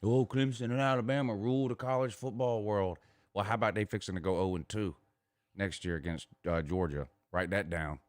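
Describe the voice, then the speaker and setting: A man with a deep voice and a Southern US accent bantering about sports. He is emphatic and cocky, often circles back over his own phrases and swears casually. The sound is very dry. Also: brisk, no room sound. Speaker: morning show host.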